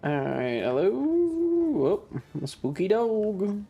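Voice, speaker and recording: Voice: mid-range voice, wildly swooping pitch, slow, breathy edge, dull audio, faint music behind. A streamer giving casual live commentary on a video game in an irreverent, sweary, rambling way.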